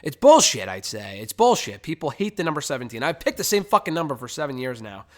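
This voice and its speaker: A man's voice: mid-range voice, sing-song, quick pace. A young man with a cold-stuffed, congested voice hosts his weekly web show alone. He rambles along in a loose, conversational way and drops casual swear words. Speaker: podcast host. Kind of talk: casual solo webcast.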